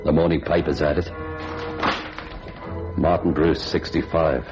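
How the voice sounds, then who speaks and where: Low-voiced shifty hardboiled hotel clerk. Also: male voice, fairly even intonation, unhurried. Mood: brooding. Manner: hardboiled, dismissive. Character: cynical, shifty hotel clerk with a guilty secret. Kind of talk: old-time radio thriller acting and narration. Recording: dull vintage radio recording, music behind.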